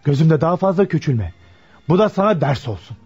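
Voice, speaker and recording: A man's voice: on the high side, sing-song, fairly quick, darkish tone, slightly rough, faint music behind, heavily acted. An actor playing a proud young shopkeeper and suitor, respectful to his elders, in a Turkish radio play. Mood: scornful. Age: young adult. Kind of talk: Turkish radio theater acting. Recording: muffled lo-fi radio drama recording.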